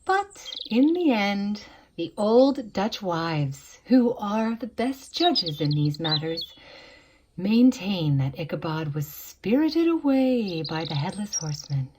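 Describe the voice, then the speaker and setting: A woman narrating a spooky tale in a theatrical, suspenseful way, with deliberate dramatic pauses, like a storybook reader. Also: medium pitch, big pitch swings, noisy background.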